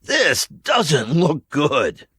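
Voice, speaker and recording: A man's voice: a little high, wildly swooping pitch, very slow, pushed and bright, breathy edge, no room sound, studio quality, pitched above the performer's own voice, full character voice. A man voicing a loyal but unsure young man who helps the group, speaking hesitantly. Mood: doubtful. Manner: hesitant, doubtful.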